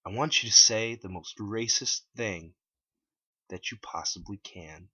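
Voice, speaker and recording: A man's voice: fairly low pitch, measured pace, pushed and bright, slightly rough, no echo, highs cut off, exaggerated voice. A man voicing a cocky, blunt internet troll who talks in a casual, challenging way in a dramatic story reading. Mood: goading.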